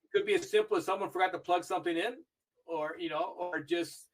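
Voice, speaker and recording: Older man, higher voice, chatty storyteller, grew up in Argentina, sits back from the mic. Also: a little fast, recorded from a distance, poor audio. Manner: chatty storytelling, animated when recalling matches. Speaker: interview guest, video review manager. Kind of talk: casual podcast interview.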